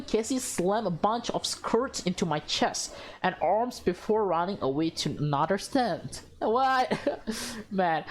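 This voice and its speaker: Woman, let's player, slow pace, expressive visual novel reading, reactive casual commentary, faint music behind. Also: mid-range voice. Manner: expressive, reactive, slips into character lines. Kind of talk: casual playthrough commentary mixed with dramatic reading.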